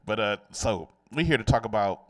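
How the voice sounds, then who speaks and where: A male host rambles loosely through his questions with lots of fillers. He talks fast in a low voice. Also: lively intonation, fairly bright tone. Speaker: show host. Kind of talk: casual interview hosting.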